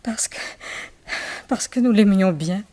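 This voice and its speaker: An actress playing a remorseful young understudy in a radio drama, earnest, hesitant and pleading. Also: female voice, mid-range voice, slow, dark tone. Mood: pleading, confessing. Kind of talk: theatrical radio drama acting.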